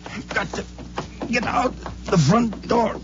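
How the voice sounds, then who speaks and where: An actor playing an excitable private detective in over his head, halting and emphatic, in a 1950s radio drama. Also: extremely high pitch, vocal fry, exaggerated voice. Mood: woozy, alarmed. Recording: muffled, hissy 1950s radio recording.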